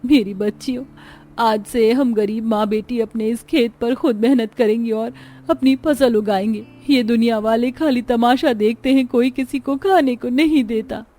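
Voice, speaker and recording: A woman's voice: a little high, steady tone, moderate pace, dark tone, lightly acted. A woman voicing a poor village mother in a cartoon, sorrowful but resolute, earnest and tender. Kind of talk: Hindi cartoon dialogue.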